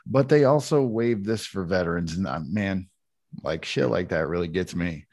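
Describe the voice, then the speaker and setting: Laid-back lower-voiced man, laughing often. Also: lively intonation, normal speed, a little mellow. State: high on cannabis. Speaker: podcast co-host. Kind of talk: casual chat with sponsor read.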